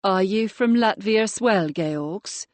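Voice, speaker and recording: A brisk female police detective gives orders in a crisp, firm voice, as a woman reader plays her. She is commanding and businesslike, and the recording is dry and close. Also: medium pitch, dry recording, natural voice. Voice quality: crisp, authoritative.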